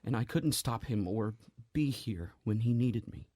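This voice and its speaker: Husky, grieving man, played by an actor. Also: mid-range voice, expressive, no room sound, clean studio sound. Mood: grieving, gentle. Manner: halting, hushed, earnest. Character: grieving, guilt-ridden man gently explaining the impossible. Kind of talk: audio drama acting.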